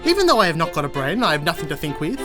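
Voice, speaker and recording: An actress playing a naive, kindly living straw figure with an eager, guileless and excitable manner in an audio drama. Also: female voice, low voice, rapid-fire, over music. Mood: pompous.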